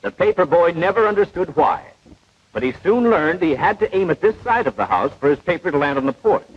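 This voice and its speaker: A man playing a patient, precise, didactic gunnery instructor in a 1940s animated training film. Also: fairly high pitch, a little fast, slightly rough. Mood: instructive. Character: patient, know-it-all male gunnery instructor, precise and unflappable. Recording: dull vintage 1940s film soundtrack.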